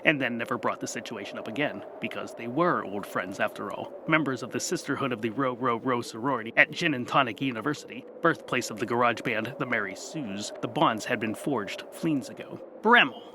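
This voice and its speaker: A man's voice: mid-range voice, fairly quick, hardly any pauses, background noise. A man narrating a comic fantasy serial in a wry, theatrical, dramatic storytelling style.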